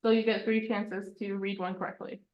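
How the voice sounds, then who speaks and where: A woman's voice: mid-range voice, flat delivery, normal speed, highs cut off. A woman teaching a citizenship class, explaining the reading test in a plain, reassuring way, step by step. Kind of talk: casual classroom instruction. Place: online class recording.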